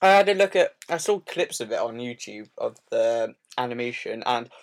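A man's voice: medium pitch, animated, normal speed, creaky. Casual young man hosting. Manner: swears freely, stammers on restarts. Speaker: podcast host. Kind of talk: casual gaming podcast chat.

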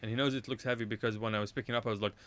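A man's voice: fairly low pitch, steady tone, racing, a bit bright. A man from Iraq, a radio show guest, telling a casual anecdote in a rambling way, often correcting himself and laughing at his own story.

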